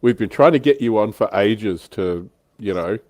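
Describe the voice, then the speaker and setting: A low male voice leads a casual livestream chat. He sounds close to the listener, in a dry space with very little room sound. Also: lively intonation, moderate pace, darkish tone, no echo. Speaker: livestream host.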